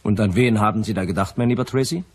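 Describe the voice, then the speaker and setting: Male supporting role, audio drama: smug agency chief, very raspy low voice, lively intonation. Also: fast. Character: smug, sardonic male detective agency boss. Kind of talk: German crime radio play acting.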